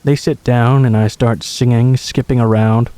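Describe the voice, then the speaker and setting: A man narrating a true scary story in the first person, measured and suspenseful. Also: medium pitch, fairly even intonation, dark tone, no echo.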